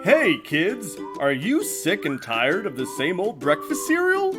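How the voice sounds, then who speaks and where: A performer voicing a peppy, exaggerated cereal pitch character in a parody children's commercial. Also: huge pitch swings, unhurried, over loud music. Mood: peppy, salesy. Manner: upbeat, exaggerated salesy delivery.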